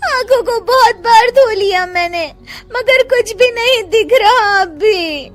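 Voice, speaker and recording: A woman voicing a lazy, scheming young daughter-in-law who whines and pleads in a high voice for a cartoon. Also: expressive, takes it slowly, soft background music, heavily acted. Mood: wailing. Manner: whiny, high-pitched pleading. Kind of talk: Hindi cartoon voice acting.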